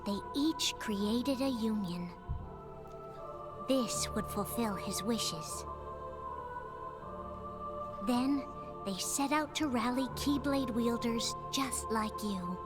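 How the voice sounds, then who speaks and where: Slow, solemn fantasy storyteller. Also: female voice, a little high. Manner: measured, solemn. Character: solemn female storyteller of an ancient fantasy legend. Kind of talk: scripted cutscene narration. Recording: game cutscene with clear music behind.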